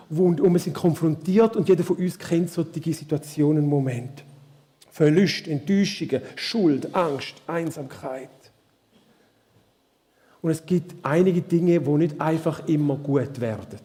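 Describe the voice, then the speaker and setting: A man with a Swiss German accent preaching a live sermon, asking the congregation questions in a slow, searching way. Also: fairly high pitch, dark tone. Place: church hall. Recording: reverberant church hall.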